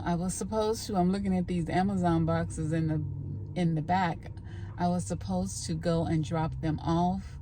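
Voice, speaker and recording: Woman vlogging, slow n rambly, kinda mellow voice, bit of bg noise. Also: on the low side. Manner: rambling, scattered delivery. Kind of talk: casual chatty vlog, talking to camera.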